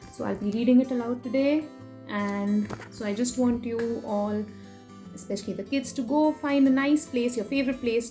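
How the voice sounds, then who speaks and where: A woman doing an expressive children's picture book read-aloud, playful in her emphasis, with chatty asides to her young listeners. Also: fairly high pitch. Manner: animated, sing-song rhyme reading with playful emphasis. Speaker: children's story reader and host.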